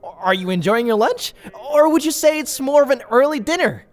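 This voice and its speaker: A man's voice: very high-pitched, lively intonation, normal speed. Man voicing theatrical, swooping, wordy young rascal. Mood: breezy, cheeky. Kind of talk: animated comic dub acting. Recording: faint background noise.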